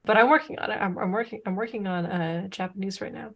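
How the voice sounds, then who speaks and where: A woman's voice: medium pitch, fast talker, vocal fry, a bit bright. A woman co-hosting a podcast in casual chat, rambling with many restarts, whose first language is Serbo-Croatian. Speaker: podcast co-host.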